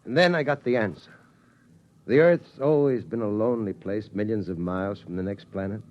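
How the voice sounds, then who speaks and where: A man playing a weary, steady father who tells his family how the world ended, in slow, solemn 1950s radio drama style. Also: on the low side, natural voice. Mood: brooding. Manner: slow, solemn storytelling cadence. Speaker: father telling the family story. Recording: dull 1950s radio broadcast sound.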